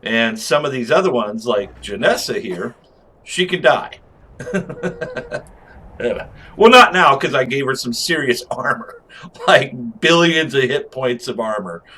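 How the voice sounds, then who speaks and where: A man's voice: medium pitch, expressive, takes it slowly, bright, forceful tone, husky. A man giving casual, unscripted game commentary as a review host, rambling along in slang with chuckles.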